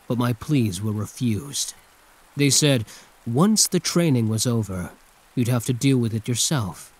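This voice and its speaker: A man's voice: medium pitch, close to an everyday voice, poor audio. A man playing a condescending yet protective hero turned villain in an intimate, theatrical ASMR roleplay monologue.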